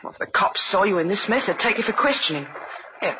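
A woman acting in an old radio drama as a soft-hearted young London woman, flustered, tender and a little bossy. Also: mid-range voice, expressive, fast, natural voice. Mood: urgent, caring. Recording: muffled old radio recording, faint noise.

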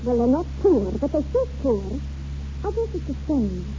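A woman's voice: high-pitched, very animated, moderate pace. An actress playing a romantic, caring and exasperated young woman in a 1940s radio drama. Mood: exasperated, pleading. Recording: muffled, noisy 1940s radio broadcast.

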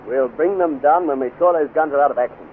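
An actor playing a stern, thoughtful military colonel in a 1950s radio serial. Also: male voice, fairly high pitch, quick pace, phone-quality sound, close to an everyday voice. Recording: thin, faintly noisy old radio recording.

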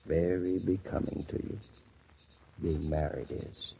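An actor in a 1940s radio drama playing an affectionate young husband who talks in a dry, gently teasing way. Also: male voice, sits low, flat delivery, takes it slowly, slightly rough, exaggerated voice. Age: young adult. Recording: muffled 1940s radio transcription.

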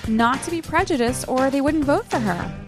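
A woman's voice: high-pitched, animated, moderate pace, music in the background, pitched above the performer's own voice. A woman playing an earnest, naive teenage actress, reading her guileless interview answers aloud from a novel. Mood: earnest, modest. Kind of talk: acted read-aloud of novel dialogue.

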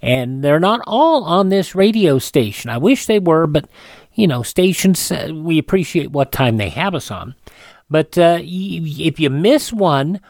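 A man's voice: fairly high pitch, big pitch swings, unhurried, darkish tone, dry recording, studio quality. A man hosting a classic radio program in an informal, partly ad-libbed style. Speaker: radio host.

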